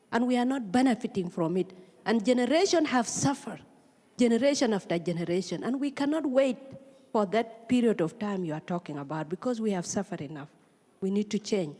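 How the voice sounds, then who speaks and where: A woman from South Sudan, an audience member, asks a panel an earnest, pleading question with pauses and fillers. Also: fairly high pitch, a little mellow. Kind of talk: spontaneous public question at a forum. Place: large conference hall. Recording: long reverb of a conference hall.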